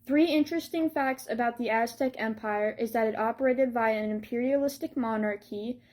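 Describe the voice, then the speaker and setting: A woman's voice: on the high side, moderate pace, darkish tone. A young woman giving a scripted history presentation in the role of a soldier. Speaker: student presenter playing a Janissary.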